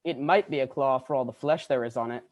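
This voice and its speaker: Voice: medium pitch, fast, darkish tone, lo-fi sound, close to an everyday voice. Student playing stern, declaiming Christmas ghost. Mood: solemn. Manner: solemn, declamatory. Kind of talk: radio play acting.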